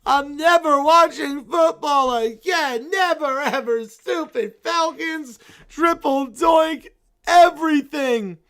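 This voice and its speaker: A woman's voice: on the high side, lively intonation, slow pace, gravelly, hardly any pauses, pitched below the performer's own voice, heavily acted. A woman playing a sobbing, raging little bear boy. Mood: whiny tantrum. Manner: wailing, shouting, sobbing complaints. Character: furious little boy teddy bear, tantrum.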